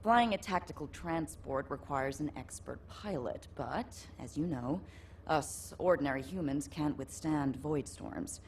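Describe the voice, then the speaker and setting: Calm serious military officer lady in a game, pretty low voice, measured, bit of background hum. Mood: businesslike. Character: composed, caring female military operations officer. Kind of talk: video game mission briefing acting.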